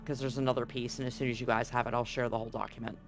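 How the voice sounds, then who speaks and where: A man's voice: medium pitch, little pitch movement, very fast, soft background music. A man acting as game master, narrating a tabletop roleplaying game and reading handouts aloud.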